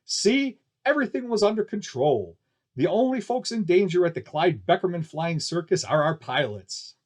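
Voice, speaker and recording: A man's voice: high-pitched, very animated, normal speed, pitched above the performer's own voice. A man voicing a grandly upbeat flying-circus barker in an audiobook story, with declamatory, folksy showman patter. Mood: reassuring. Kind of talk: ballyhoo announcing in an audiobook story.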